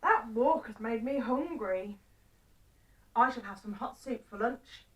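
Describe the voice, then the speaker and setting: A woman's voice: a little high, animated, a little slow, far from the mic. A woman voicing a hungry, fussy little old man in a children's story, exclaiming and grumbling to himself. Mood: hungry, content.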